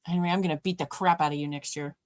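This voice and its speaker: A woman with a deep voice hosting a live watch party, chatting casually and answering viewers' comments by name. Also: fairly even intonation, fast, no room sound, clean studio sound. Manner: chatty, warm replies to chat, addresses viewers by name. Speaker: livestream host.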